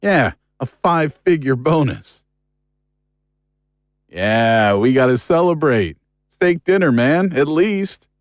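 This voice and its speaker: A man's voice: a little high, animated, slow pace, pauses often, dry recording. Actor as a chummy male investigator, dry sound. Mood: pleased. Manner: casual, chummy. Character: upbeat, casual male investigator bantering with his partner. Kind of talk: audio drama dialogue.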